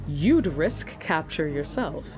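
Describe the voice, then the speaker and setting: Formal, low-pitched woman bargaining earnestly. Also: lively intonation, takes it slowly, a little mellow, soft background music. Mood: careful, earnest. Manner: formal, wordy, careful diction. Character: earnest young woman negotiating, formal and eloquent, anxious. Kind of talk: audio drama acting.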